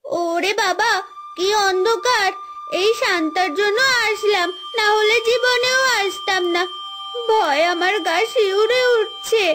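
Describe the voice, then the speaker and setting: A woman's voice: extremely high pitch, takes it slowly, fairly bright tone, faint music behind, exaggerated voice. A woman voicing young girls on a forest adventure in a cartoon fairy tale, bickering and dramatic. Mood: nervous.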